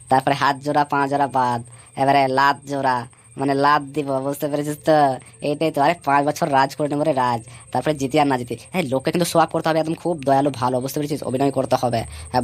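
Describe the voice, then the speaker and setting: A woman voicing a boastful, scheming male election candidate in a comic cartoon, speechifying in a blustering, repetitive way. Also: extremely low pitch. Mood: sly, scheming.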